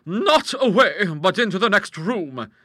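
A man voicing a brisk, outspoken middle-aged English aunt in a storybook, hearty, emphatic and used to commanding. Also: high-pitched, expressive, pushed and bright, no echo, clean studio sound, pitched above the performer's own voice. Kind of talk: storybook character voice in an audiobook.

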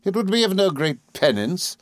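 Storybook villainess, played by a man: imperious young gentlewoman, raised pitch, cutting contempt, fierce and unrelenting, dry sound. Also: expressive, a little slow, dry recording, lightly acted. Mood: cruel scorn. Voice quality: cold, stern, sharp tone. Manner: contemptuous, resolute, theatrically fierce. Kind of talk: dramatised classic novel reading.

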